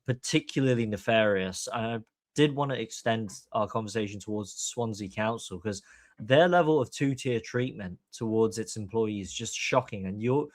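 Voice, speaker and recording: A man's voice: on the low side, moderate pace, no room sound. A man from Hong Kong hosting a podcast, giving opinionated commentary in an emphatic, long-winded way. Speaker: podcast host.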